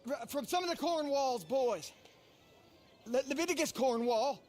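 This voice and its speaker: Frantic male petty thief for a game: grovelling, stuttering, pitched way up in fear. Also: animated, a little slow, darkish tone, faint music behind. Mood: terrified, pleading. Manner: stammering, frantic. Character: terrified, pleading petty thief, grovelling man. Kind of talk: video game cutscene acting.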